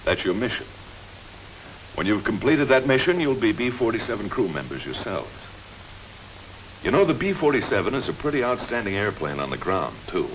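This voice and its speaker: An American man delivering scripted narration for a 1950s military training film, measured, instructive and emphatic. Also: lowish voice, very animated, a bit bright. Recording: hissy, noisy old film soundtrack.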